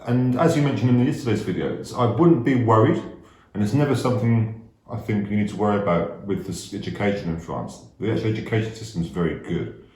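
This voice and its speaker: Deep-voiced relaxed man, echoey. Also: quick pace. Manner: relaxed, agreeable, short replies. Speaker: co-host. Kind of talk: casual chat. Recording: echoey room.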